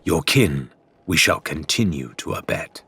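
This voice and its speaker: Man voicing solemn, slow chanting merfolk. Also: extremely low pitch, lively intonation, pushed and bright, breathy edge, pitched below the performer's own voice, heavily acted. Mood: solemn reverence.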